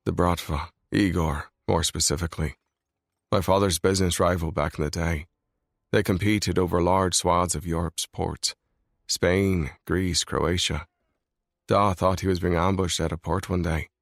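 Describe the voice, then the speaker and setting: A man voicing a brooding, controlled mafia boss in a scripted audiobook reading, low and grave. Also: fairly even intonation, measured pace, gravelly, dry recording, clean studio sound. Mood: grim. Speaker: male lead voice in audiobook.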